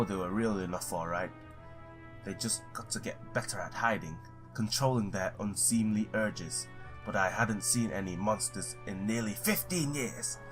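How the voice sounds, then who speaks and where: A man's voice: lowish voice, natural voice. A man reading the part of a wry, hard-boiled ex-cop detective in a noir visual novel. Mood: reassuring, wry.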